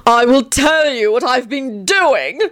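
A woman's voice: high voice, animated, a little slow, voice pushed up. A woman voicing a theatrical, petulant old male vampire who wails in haughty, archaic phrases for an audiobook. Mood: bitter, aggrieved. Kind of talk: audiobook character voice.

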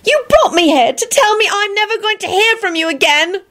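A lovesick young woman from a storybook, acted out by a woman reader, pitched well up and swooping. She is plaintive and easily frightened, with her feelings close to the surface. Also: sing-song, fairly quick. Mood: wailing, angry. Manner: plaintive and emotional.

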